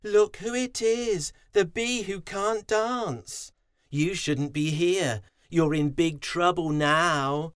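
Sneering worker bees, as a man puts them on for a storybook, pitched high and loud. They taunt in a nasty, mocking tone. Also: takes it slowly, clear, resonant tone, dry recording, clean studio sound.

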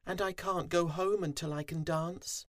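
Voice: medium pitch, slow, a little mellow, dry recording, studio quality, voice pushed up, lightly acted. A storybook narrator voicing a sad, timid little male bee with a trembling, moaning delivery. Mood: forlorn.

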